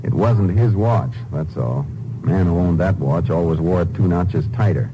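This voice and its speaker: An American actor playing a shrewd, determined, laconic Texas Ranger in a 1950s radio drama, clipped and matter-of-fact. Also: male voice, sits low, moderate pace, close to an everyday voice. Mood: businesslike, intent. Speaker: lead character and narrator. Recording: noisy old 1950s radio recording.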